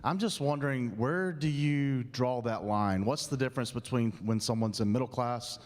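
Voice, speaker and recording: A man speaking as a state senator, formally questioning a colleague in a polite, measured way. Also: medium pitch. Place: large indoor legislative chamber. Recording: echoing legislative chamber, long reverb.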